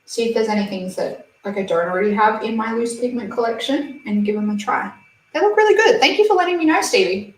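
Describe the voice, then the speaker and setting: A woman, chatting casually on a beauty livestream and reading viewer comments aloud with giggles. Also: a little high. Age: 20s. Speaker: beauty channel host. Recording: roomy, slightly distant mic.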